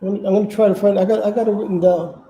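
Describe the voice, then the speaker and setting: Halting high-voiced man with a dark tone. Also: steady tone. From Manhattan, New York, United States. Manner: halting, stumbles and repeats words often. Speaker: channel host appealing for donations. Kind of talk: casual unscripted livestream talk.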